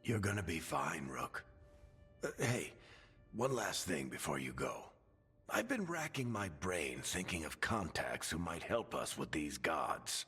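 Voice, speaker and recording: Husky, low-voiced wry dwarf mentor. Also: male voice, very animated, a little slow, pushed and bright, full character voice. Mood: reflective, encouraging. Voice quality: husky, gravelly. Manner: sardonic, folksy, reassuring. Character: wry older dwarf man, battle-worn mentor and storyteller. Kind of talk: video game dialogue acting. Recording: reverberant hall, music underneath.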